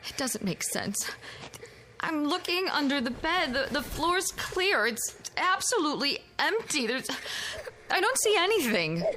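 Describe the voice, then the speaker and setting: A woman's voice: sits high, very animated, measured pace, bright, forceful tone, a little hiss or hum, heavily acted. An actress playing a distraught mother in a radio drama, with an anguished, pleading delivery. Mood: panicked. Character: distraught mother searching for her missing daughter.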